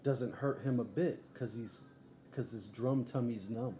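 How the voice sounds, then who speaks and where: A man's voice: medium pitch, a little slow, close to an everyday voice. A man voicing an eager, boastful boy showman in a storybook, barking grandly like a ringmaster. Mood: showy, proud. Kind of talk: storybook read-aloud character. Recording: echoey room, faint background noise.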